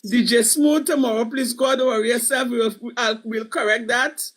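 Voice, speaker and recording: A woman's voice: fairly high pitch, fairly even intonation, moderate pace. A woman in her eighties with a Trinidadian accent, hosting a live stream and chatting casually, rambling and repeating her words. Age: 80s. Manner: rambling, repeats words, affectionate sign-offs. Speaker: livestream show host.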